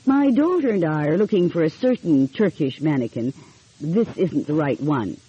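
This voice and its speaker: A woman voicing a kindly, measured middle-aged lawyer father in an audiobook mystery. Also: sits low, expressive, dark tone, slightly rough, dull audio, heavily acted. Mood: consoling.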